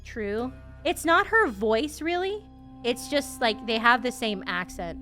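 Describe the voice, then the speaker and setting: Female game streamer, rambling and sweary, bright forward voice, animated casual commentary over soft music. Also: on the high side, a little slow.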